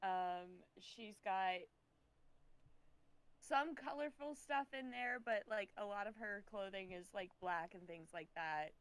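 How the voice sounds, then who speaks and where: Woman in a tabletop game chat, smooth clear voice, real slow, hunting for words. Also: medium pitch. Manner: hesitant, searching for words. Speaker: player in a tabletop roleplaying game. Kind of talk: casual improvised character description.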